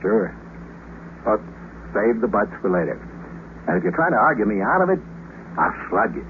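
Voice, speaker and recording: Through a tinny, slightly noisy 1950s radio recording comes a brash American astronaut. He is a good-hearted man who talks in wry slang with an American accent and a lively, swinging pitch. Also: normal speed. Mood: insistent. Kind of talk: 1950s radio drama acting.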